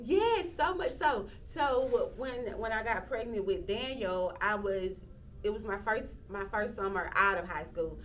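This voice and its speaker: A woman's voice: mid-range voice, animated, unhurried, recorded from a distance, slight background noise. Reflective woman in her thirties. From Athens, Georgia, US. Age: 30s. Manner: reflective, with frequent "um" fillers and restarts. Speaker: interviewee, local county commissioner. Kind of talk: casual interview talk.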